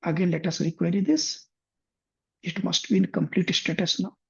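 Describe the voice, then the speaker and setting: A man demonstrating finance software on a shared screen, thinking aloud with frequent restarts. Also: high voice, fairly even intonation, moderate pace, gravelly, husky. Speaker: software instructor. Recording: muffled lo-fi computer mic.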